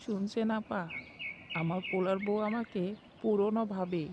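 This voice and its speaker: A woman's voice: medium pitch, fairly even intonation, a little slow, highs cut off, close to an everyday voice. Woman as old mother-in-law, bossy, nagging, grumbling, village cartoon, faint outdoor noise. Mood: complaining. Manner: nagging, complaining.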